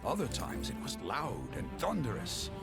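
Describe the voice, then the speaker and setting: A man's voice: mid-range voice, huge pitch swings, moderate pace, vocal fry. A man playing a theatrical storyteller in a video game, reciting an old legend dramatically and expressively. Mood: dramatic, wondrous. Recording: game audio with loud music.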